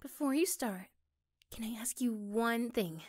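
A woman playing an affectionate, curious girlfriend in an ASMR roleplay, speaking gently and haltingly. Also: fairly high pitch. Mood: hesitant, curious.

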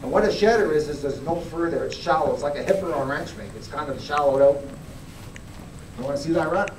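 A man's voice: fairly high pitch, a little fast, a little mellow, a little hiss or hum. Folksy man grading furs in an echoey hall. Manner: plain-spoken, folksy, asks audience to look. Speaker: fur grader. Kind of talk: live demonstration talk. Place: large indoor meeting hall. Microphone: far camera. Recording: echoey hall, camera from far off.

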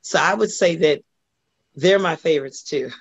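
A middle-aged woman from Los Angeles with a husky voice, talking as a guest singer on a video call. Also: low voice, moderate pace, no echo. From Los Angeles, USA. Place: home room indoors. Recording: dull lo-fi video call audio.

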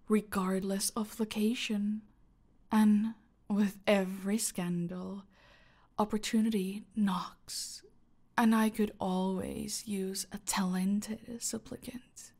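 A woman playing a smug, menacing female cartel boss in an audio roleplay, speaking deliberately and haughtily, often dropping into breathy near-whispers. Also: medium pitch, steady tone, a little mellow. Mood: smug, threatening. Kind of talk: dramatic audio roleplay monologue addressed to a captive.

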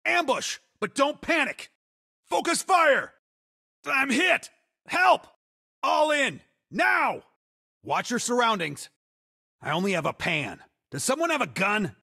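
An actor playing an excitable, slightly comic male soldier in a video game, delivering short callouts in a husky, theatrical voice. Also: extremely high pitch, takes it slowly, long pauses, full character voice. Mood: urgent, shouting.

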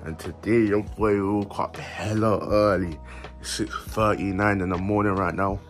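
A young man with a London accent chatting in slang to his phone camera while out jogging, with lively asides. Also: on the low side, normal speed, faint music behind. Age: young adult. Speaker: vlogger. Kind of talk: casual vlog talk to camera. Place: outdoors in a park and streets at dawn, also a bedroom. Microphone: phone held at arm's length.

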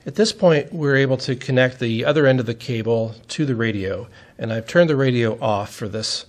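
A man's voice: mid-range voice, normal speed. A man giving a step-by-step technical demonstration in a methodical, plain-spoken way, as an instructor would.

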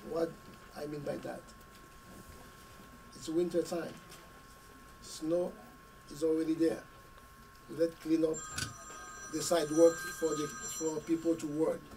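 A middle-aged man, hoarse from a cold, giving an earnest speech at a community meeting in short, paused phrases. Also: a little high, little pitch movement, dark tone. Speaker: school committee member. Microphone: podium mic, camera at a distance. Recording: distant mic with faint room noise.

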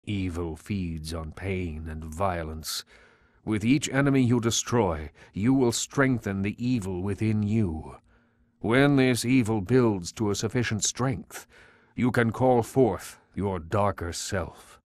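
An actor playing a solemn mentor in a video game, speaking in a grave, measured, portentous way. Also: male voice, on the low side, darkish tone, dry recording, studio quality. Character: solemn male mentor explaining dark powers. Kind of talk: video game cutscene acting.

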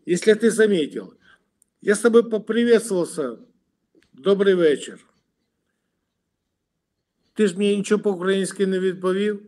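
A man's voice: high voice, a little slow, long pauses, darkish tone. A middle-aged man arguing over a video call from his room at home, aggressive and mocking, swearing and throwing insults. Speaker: video chat stranger. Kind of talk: heated argument. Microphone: webcam on a video call.

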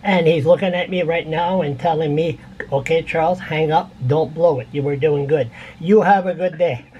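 A man's voice: a little high, normal speed, a little mellow, dull audio, a little hiss or hum. An older man chatting casually as a radio phone-in caller, rambling and repeating words for emphasis. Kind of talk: casual chat. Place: indoor room at home.

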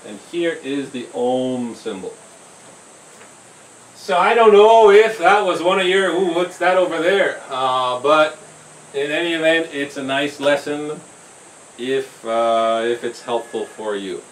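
Slow, gentle man in a roomy kitchen. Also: a little high, lively intonation. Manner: slow, gentle, with fillers and restarts. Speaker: home cooking channel host. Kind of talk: casual talk to camera. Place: small brick-walled home kitchen. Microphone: camera mic from across the room. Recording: roomy, distant camera sound with faint hiss.

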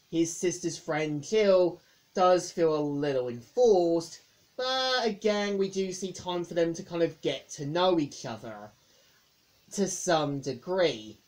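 A woman reviewing a film in a casual monologue, speaking haltingly and often repeating words. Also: on the low side, a little mellow.

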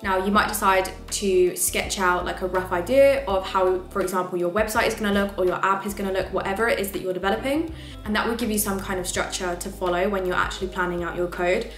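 A woman's voice: mid-range voice, fairly even intonation, quick pace, roomy sound, faint music behind. A young woman vlogger who talks to camera from her home desk and explains how she plans coding projects step by step, in a practical, casual way. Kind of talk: casual tutorial talk to camera.

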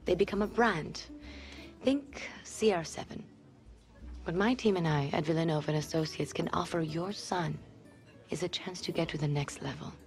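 An actress playing a poised super agent, formal and assured as she delivers a polished pitch. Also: natural voice. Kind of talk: polished sales pitch in game cutscene.

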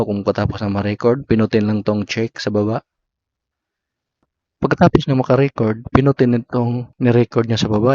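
A man's voice: medium pitch, darkish tone, dry recording. Filipino man narrating a dull-sounding tutorial. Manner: instructional, short segmented phrases. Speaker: tutorial host. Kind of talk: step-by-step app tutorial narration. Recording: slightly dull lo-fi voice recording.

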